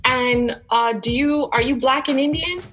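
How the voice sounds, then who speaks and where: A woman hosting a casual interview, speaking informally and haltingly as she rephrases her thoughts midway. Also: sits high, fairly bright tone, soft background music. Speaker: host, interviewer.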